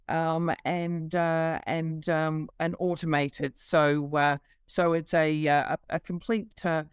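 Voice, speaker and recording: A woman's voice: low-pitched, clear, resonant tone, no echo, studio quality. A middle-aged woman, a company CEO, explaining her business over a video call, fluent but with many fillers. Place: office.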